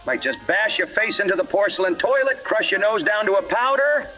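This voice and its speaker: A man's voice: high-pitched, expressive, brisk. A man playing a violent, boastful gangster mama's boy in a parody radio drama, crude and menacing. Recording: muffled old-radio sound with music.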